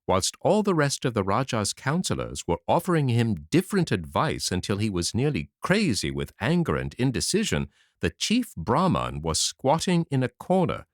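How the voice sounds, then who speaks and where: A man narrating a fairy tale audiobook in a dramatic, expressive reading. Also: fairly low pitch, no room sound. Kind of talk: scripted storybook narration. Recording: dry close audiobook mic.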